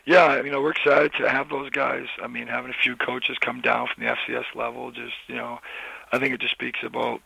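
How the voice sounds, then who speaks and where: Hoarse, gravelly football coach. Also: male voice, medium pitch, little pitch movement, a little fast, sharp tone, dry recording, poor audio. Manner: rambling, many restarts and "you know" fillers. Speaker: college football head coach. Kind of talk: casual interview answers.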